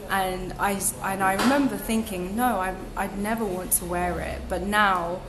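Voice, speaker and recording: A woman's voice: mid-range voice, steady tone, normal speed, background noise. A young woman talking as a podcast guest, reflective and chatty, with many ums and restarts. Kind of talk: casual interview chat. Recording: echoey room with music behind.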